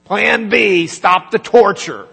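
A man preaching a sermon, retelling a scripture story in a conversational way and quietly acting out the lines of its people. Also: sits high, takes it slowly, gravelly, husky, faint music behind. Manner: conversational, acts out dialogue in quiet asides. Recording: reverberant hall with mains hum.

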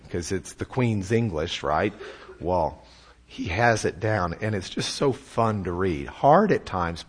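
A man with a Texan accent giving a folksy, self-deprecating church talk about a writer's conversion, often repeating words as he builds a point. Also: lowish voice. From Texas. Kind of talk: sermon-style talk with slides.

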